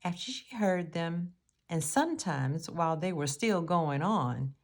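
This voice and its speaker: Female storybook reader. Low register, unhurried pace, measured phrasing for young listeners. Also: lively intonation. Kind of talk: read-aloud children's story narration.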